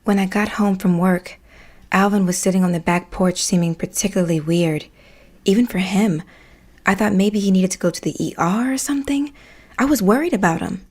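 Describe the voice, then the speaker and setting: An actress playing a candid working woman in a comic audio drama, telling her side casually with deadpan asides. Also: medium pitch, moderate pace, breathy edge, natural voice. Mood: wry, concerned. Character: candid, wry working woman, a concerned neighbour.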